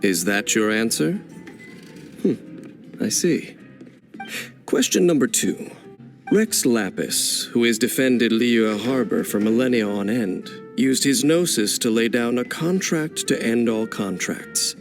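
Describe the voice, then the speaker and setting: An actor playing a solemn, enigmatic wandering swordsman in a fantasy game, speaking in a grave, measured, cryptic way. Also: medium pitch, expressive, faint music behind. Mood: probing, measured. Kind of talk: scripted fantasy game voice acting.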